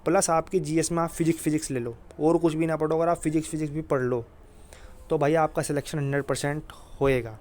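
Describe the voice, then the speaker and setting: Higher-pitched man, informal coach. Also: fairly even intonation, fast talker, a little mellow. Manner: repetitive, emphatic reassurance with frequent filler address. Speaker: exam coaching YouTuber. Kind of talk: informal exam guidance talk.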